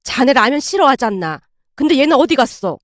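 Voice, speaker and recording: A woman narrator acts out an older Korean-drama style mother-in-law in a story reading. She is blunt and indignant, fiercely protective, and quick to berate. The voice sits high in a very dry recording. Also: very animated, dry recording. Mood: indignant, fussing.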